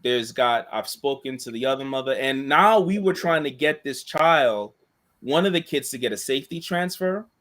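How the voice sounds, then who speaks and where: A man in casual chat who tells a long story in a monotone voice, rambling with many restarts and asides. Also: mid-range voice, moderate pace. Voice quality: monotone voice, self-described. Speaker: co-host and school staff member.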